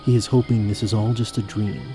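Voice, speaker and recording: A man's voice: on the low side, fairly even intonation, fairly quick, music in the background. A man delivering scripted narration for a fantasy audio drama as its storyteller.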